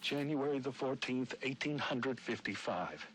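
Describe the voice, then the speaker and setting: An actor playing a vengeful nineteenth-century gentleman schemer narrating his journal in a game, pompous, florid and melodramatic. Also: mid-range voice, lively intonation, unhurried, a little hiss or hum, rough recording. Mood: grave, measured. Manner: pompous, florid, melodramatic, with cackling and hushed menace. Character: gleefully vengeful 19th-century gentleman schemer, theatrical diarist.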